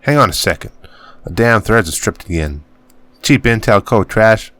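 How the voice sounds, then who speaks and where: Man reading as a gruff, cursing farmer dad. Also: lowish voice, animated, a bit bright, no echo. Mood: grumbling. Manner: grumbling, cursing, drawn-out reading. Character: gruff weary middle-aged farmer father, bitter miner.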